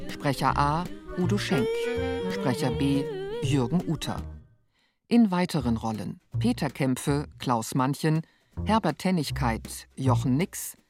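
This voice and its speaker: A woman working as an announcer, reading scripted credits for a radio play. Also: low-pitched. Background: music.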